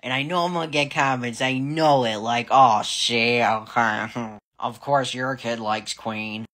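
A young woman, chatting to camera about her son, animated and laughing often, filmed on a phone at arm's length in a small wood-paneled room. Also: extremely low pitch, a little slow. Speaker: parent vlogger. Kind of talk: casual chat to camera. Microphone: phone held at arm's length.

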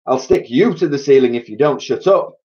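A man voicing a bossy, excitable monkey father from a children's storybook, snapping impatient orders in a slightly dull recording. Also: mid-range voice, normal speed, pitched above the performer's own voice, natural voice. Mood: excited bossing.

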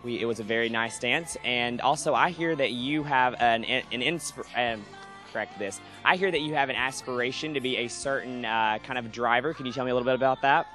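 A man firing off fast interview questions backstage at a convention, his voice sitting at an ordinary middle pitch. He talks quickly and fills gaps with little fillers. Faint music plays behind him. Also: sharp tone. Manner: brisk, with filler pauses.

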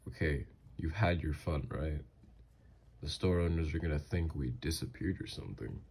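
A man playing a doting, easily flustered mafia boss lover, gruff yet flirtatious, in an intimate ASMR roleplay. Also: extremely low pitch, normal speed, darkish tone, exaggerated voice. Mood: affectionate, playful.

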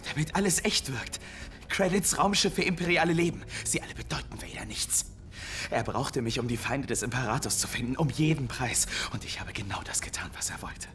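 A man's voice: medium pitch, animated, a little fast, close to an everyday voice. Man playing intense young warrior, swinging delivery. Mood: urgent. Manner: dramatic, swings from hushed brooding to forceful outbursts. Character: intense young male apprentice warrior seeking redemption. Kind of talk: dubbed video game cinematic acting. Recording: loud film score underneath.

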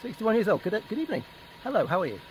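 A man's voice: high voice, sing-song, very fast, poor audio. A man in his forties, an on-duty police community support officer, stays overly polite and keeps stating the time and date for the record. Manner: overly polite, repeats times and dates for the record. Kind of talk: on-duty street confrontation. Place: residential street outdoors at dusk.

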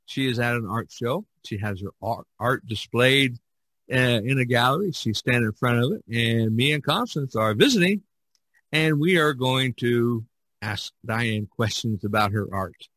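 A man's voice: medium pitch, expressive, normal speed, fairly bright tone, dry recording. A man hosting a casual role-played interview, repeating himself a little as he sets up questions. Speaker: podcast host interviewing artists. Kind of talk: casual play-acted interview.

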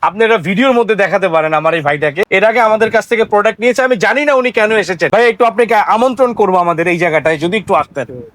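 A Bangladeshi-accented man giving an informal, talkative shopkeeper's sales pitch, repeating himself and dropping in English words. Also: fast talker, a bit bright, dry recording. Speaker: shopkeeper selling telephones. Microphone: close microphone.

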